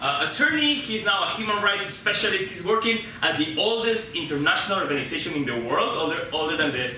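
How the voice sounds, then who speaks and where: A man from Peru hosting a casual live interview on stage, speaking informally and haltingly, often repeating words as he puts his questions. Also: high-pitched, very animated. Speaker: host interviewing a guest on stage. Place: live show venue with an audience of about fifty. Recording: roomy echo, distant mic, loud background noise.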